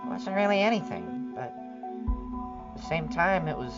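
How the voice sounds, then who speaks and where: A woman's voice: fairly low pitch, very animated, measured pace. A woman playing a guarded, uneasy suburban neighbor who speaks tersely and hesitantly in an audio drama. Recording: muffled sound under clear music. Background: music.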